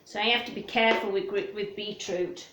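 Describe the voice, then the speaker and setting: A woman presenting a children's storytime, chatting in a gentle sing-song way and putting questions to young listeners. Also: medium pitch, steady tone, moderate pace, noisy background. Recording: roomy, echoing room sound.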